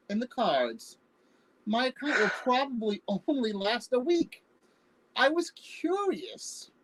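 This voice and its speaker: A woman's voice: fairly high pitch, exaggerated voice, rough recording. A woman acting a defensive but playful long-married spouse in an amateur comedy play reading, offhand and deflecting.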